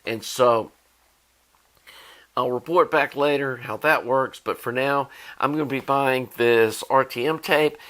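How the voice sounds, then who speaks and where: A man's voice: mid-range voice, measured pace, a bit bright. American man casually explaining things. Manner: informal, rambling, thinking aloud. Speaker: audio hobbyist video host. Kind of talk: casual explanatory product talk.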